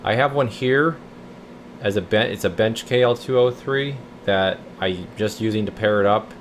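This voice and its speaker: A man's voice: on the low side, moderate pace, slight background noise. A man giving a casual, folksy, rambling walkthrough as a repair technician, thinking aloud as he goes. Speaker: radio repair technician presenting his repair videos. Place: radio repair workshop.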